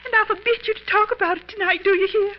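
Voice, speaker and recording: Very high, shrill, frightened wife. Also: female voice, steady tone, quick pace, a little hiss or hum. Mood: frightened, pleading. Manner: shrill, whining, near hysterical. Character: nervous, morbidly fearful, demanding wealthy wife. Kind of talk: 1950s radio melodrama. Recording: muffled old radio recording.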